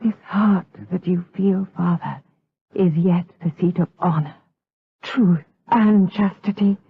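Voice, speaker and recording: Woman acting a breathy, slow-speaking gothic seductress. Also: lowish voice, no room sound, full character voice. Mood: tearful, dying. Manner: melodramatic, slow, intense, formal archaic diction. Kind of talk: gothic radio drama acting. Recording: lo-fi, tinny 1980s audio drama recording.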